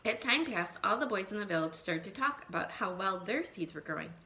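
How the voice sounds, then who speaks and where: A woman teacher reading a picture book aloud to young children, expressive, thinking aloud and putting questions to her listeners. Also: mid-range voice, brisk, slight background noise. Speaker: elementary school teacher.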